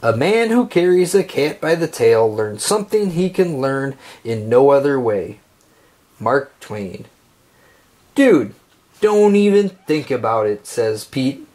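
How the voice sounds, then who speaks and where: A man reading a children's picture book aloud, phrasing it deliberately and expressively for young listeners. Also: medium pitch, sing-song, a little mellow.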